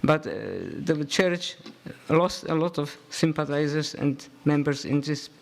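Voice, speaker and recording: Man, professor, conference talk, halting, repeats words, somewhat high voice. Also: steady tone. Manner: halting, repeats words while searching for them. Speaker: professor, panel speaker.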